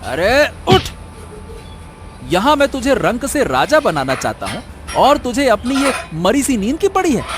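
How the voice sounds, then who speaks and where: A scheming, foolishly hopeful cartoon villager. His high voice swings widely and draws words out slowly, full of theatrical exclaiming, with faint noise in the background. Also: huge pitch swings, full character voice. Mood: bossy, excited. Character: bossy, scheming, foolishly hopeful village man, donkey's owner. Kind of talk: comic animated story dialogue.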